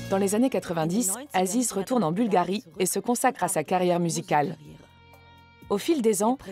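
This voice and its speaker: A woman reading scripted documentary narration in a measured, informative way. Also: mid-range voice, dark tone.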